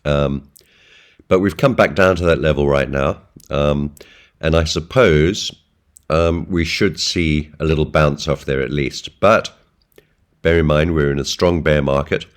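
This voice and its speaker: A man with a hoarse voice from a flu, giving a relaxed weekly market update over a chart, with many ums and uhs. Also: rumbling low, a little slow. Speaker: forex trading analyst. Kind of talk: screen-share market update.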